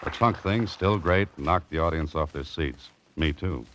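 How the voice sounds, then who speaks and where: Very deep, laconic American detective. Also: male voice, measured pace, a bit bright, some chatter behind. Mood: cool, suspenseful. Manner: clipped, laconic, understated. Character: cool, wry amateur detective narrating his mystery. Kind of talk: 1940s radio drama narration and acting. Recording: dull old radio recording.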